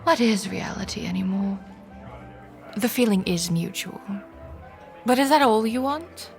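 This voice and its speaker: A woman playing a sardonic, wary young woman short of money in an audio drama, with wry, expressive inner monologue. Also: medium pitch, unhurried, creaky, in a large hall. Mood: flustered, doubtful. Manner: wry, expressive, with sighing interjections. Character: young cash-strapped woman, sardonic, wary, inwardly flustered. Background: music.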